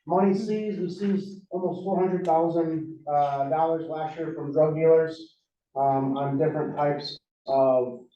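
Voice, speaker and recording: Man, police sergeant at public meeting, earnest, halting; mellow tone, dull distant room sound. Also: on the high side, fairly even intonation. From Wisconsin. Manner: earnest, hesitant with many fillers. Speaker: police drug unit sergeant. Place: large town meeting hall. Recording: echoey meeting hall, far mic, dull.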